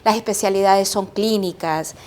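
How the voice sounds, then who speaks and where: A middle-aged woman from Colombia speaks as a guest expert. Her answers are measured and explanatory, and her voice sits in a middle pitch. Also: a little mellow. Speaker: epidemiologist guest. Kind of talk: interview answers.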